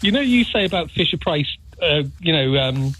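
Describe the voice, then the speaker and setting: A man calling in to a radio phone-in, telling a gossipy, animated story and giggling as he goes. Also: fairly high pitch, moderate pace, breathy edge. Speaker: caller. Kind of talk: chatty phone-in call. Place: Taunton. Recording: phone line.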